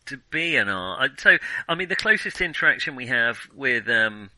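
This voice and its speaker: A man's voice: mid-range voice, sing-song, moderate pace, pushed and bright, no echo, poor audio. A man co-hosting a casual film discussion, giving opinions hesitantly as he thinks them through. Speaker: film podcast co-host.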